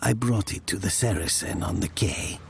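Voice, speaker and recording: A man playing a brooding narrator recalling his youth in a dramatic story, slow and deliberate, dropping into hushed breathy tones. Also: low voice, a little hiss or hum. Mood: measured, somber.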